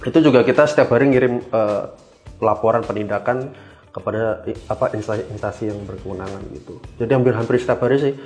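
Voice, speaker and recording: Young Indonesian male podcast guest, lowish voice, explaining informally and haltingly, light music behind. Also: a little mellow. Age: young adult. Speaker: interview guest, government cybersecurity engineer. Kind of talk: casual explanatory interview answers.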